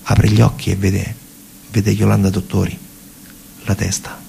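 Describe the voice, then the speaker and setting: A man with a central Italian accent from Lazio performing a dramatic theatre monologue as a storyteller, in a husky, gravelly voice, colloquial and repeating phrases for emphasis. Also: lowish voice, monotone, unhurried, mellow, slight background noise. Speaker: stage storyteller, actor.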